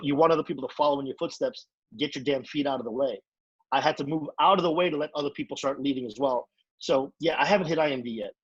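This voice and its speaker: Fast husky guy hyping up his sales team, keeps repeating himself, dull dry call audio. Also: medium pitch, no room sound. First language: English. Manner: energetic, repetitive, preachy. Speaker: network marketing trainer. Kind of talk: motivational training talk.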